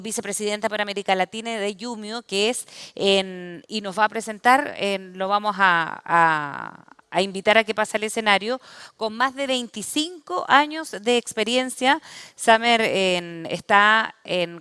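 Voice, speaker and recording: A woman's voice: medium pitch, bright, forceful tone, clear, resonant tone, no room sound. A woman hosting a conference, introducing the next speaker with many restarts. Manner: halting, many fillers and restarts. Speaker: event host. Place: conference stage.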